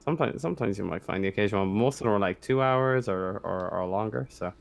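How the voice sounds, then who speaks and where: A man running a tabletop game as game master, narrating casually in a halting, stammering way with frequent backtracking. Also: lowish voice, creaky.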